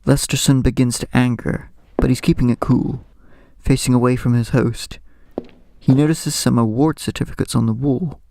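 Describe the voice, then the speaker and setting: Gravelly slow horror narrator. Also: male voice, mid-range voice, fairly even intonation, a little mellow, dry recording. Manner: slow, ominous.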